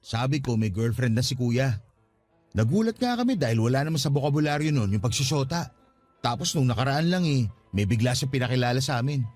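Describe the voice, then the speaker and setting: A man acts as an earnest young father in a radio drama. He sounds anxious and conversational, often asking questions as he pleads with his partner. Soft music plays behind him. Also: mid-range voice, lively intonation, brisk, lightly acted. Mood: puzzled. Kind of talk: Tagalog radio drama acting. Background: music bed.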